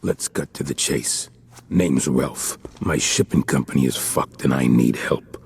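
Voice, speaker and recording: An actor playing a blunt, bitter, shady company boss in a video game, with a gravelly, husky voice. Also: male voice, very deep voice, unhurried, a little mellow, soft background music, exaggerated voice. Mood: blunt, vindictive. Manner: blunt, profane. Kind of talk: video game cutscene acting.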